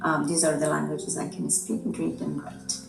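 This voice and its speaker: Woman, Dari native speaker, middle-aged, halting thoughtful delivery, roomy sound, music behind. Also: sits low, level tone, creaky. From Afghanistan. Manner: thoughtful, many "um" and "uh" pauses. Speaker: guest. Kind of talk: conversational interview. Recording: echoey room, distant mic, music behind.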